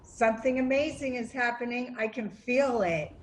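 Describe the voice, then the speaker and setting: A woman acting as a devoted, hopeful disciple of Jesus in a church dramatic reading, earnest and measured. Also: fairly high pitch, slight background noise, natural voice, poor audio. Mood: awed.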